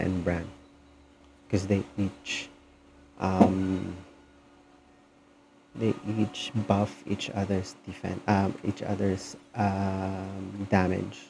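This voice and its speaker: A man's voice: deep voice, vocal fry, dark tone, slight background noise. A man giving casual commentary as he streams a video game.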